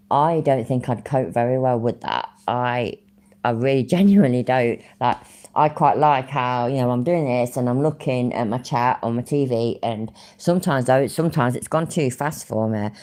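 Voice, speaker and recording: A woman's voice: extremely low pitch, moderate pace, a little mellow. A woman with an English Midlands accent, chatting casually to her live-stream audience; she rambles, swears freely and laughs often.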